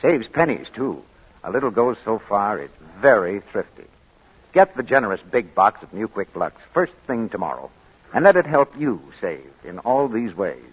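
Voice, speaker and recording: A man's voice: fairly low pitch, sing-song, moderate pace, husky. A man announcing and reading a scripted commercial as a 1940s radio announcer. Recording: muffled 1940s radio broadcast.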